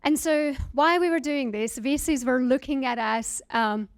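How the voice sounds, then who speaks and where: A woman's voice: high-pitched, normal speed, clear, resonant tone. A woman from Hungary, giving an earnest presentation as a founder, with frequent fillers. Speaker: startup founder giving a talk. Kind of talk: presentation to an audience.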